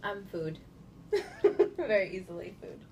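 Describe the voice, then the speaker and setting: Californian woman in her 30s, gravelly voice, loose chatty answers, noisy room behind. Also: medium pitch, slow. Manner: relaxed, chatty, many fillers. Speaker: guest. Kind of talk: casual interview answers. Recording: noisy indoor recording.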